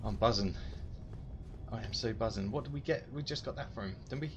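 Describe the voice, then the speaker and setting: A man giving casual, excitable gameplay commentary, talking to himself as he plays. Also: medium pitch, brisk, lo-fi sound, background noise. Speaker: gaming video host. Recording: loud music behind the voice.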